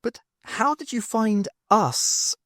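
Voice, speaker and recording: A man acts an exasperated woman, the wry heroine of an audiobook. The voice is raised high and very expressive, with sharp, emphatic questions. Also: takes it slowly, no room sound, studio quality, exaggerated voice. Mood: puzzled.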